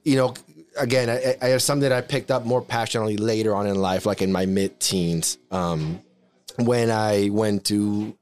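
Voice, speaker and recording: A man's voice: fairly low pitch, steady tone, normal speed, a little mellow. Mexican-accented man, rambling storyteller. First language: Spanish. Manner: rambling, many restarts and fillers. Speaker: podcast co-host. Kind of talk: casual chat, personal storytelling.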